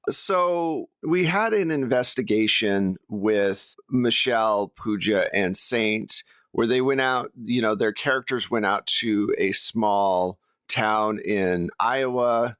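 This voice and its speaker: A man's voice: medium pitch, slow pace, hardly any pauses, fairly bright tone, clear, resonant tone, no echo. A man with a mid-range voice hosts a tabletop gaming chat in a dry, close recording. He rambles conversationally and poses questions in an easygoing, informal style. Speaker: host and game master of a tabletop actual-play show. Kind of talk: casual panel debrief.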